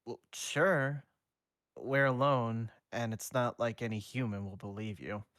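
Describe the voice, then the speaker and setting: A man voicing a calm, gentle half-ghost teenage boy in a soft, reassuring way. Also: medium pitch, measured pace, no echo, clean studio sound, lightly acted. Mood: offhand.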